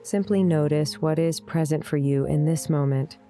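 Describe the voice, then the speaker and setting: A woman leading a guided meditation in a gentle, soothing, unhurried way, with deliberate pauses. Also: low voice. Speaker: meditation guide, yoga teacher. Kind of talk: guided meditation, scripted narration. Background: soft instrumental music.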